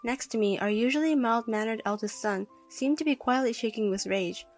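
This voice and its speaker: A woman's voice: a little high, brisk, darkish tone, faint music behind. A woman reading a scripted first-person story as narrator.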